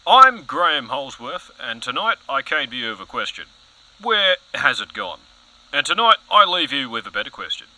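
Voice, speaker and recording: A man's voice: mid-range voice, sing-song, moderate pace, pushed and bright, exaggerated voice, poor audio. A man playing a pompous, overzealous TV news anchor in an audio comedy, earnest and dramatic. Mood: dramatic, grave.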